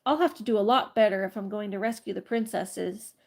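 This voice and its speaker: A woman's voice: medium pitch, fairly quick, vocal fry, darkish tone. A woman with a congested cold voicing an earnest, curious young boy in a storybook reading.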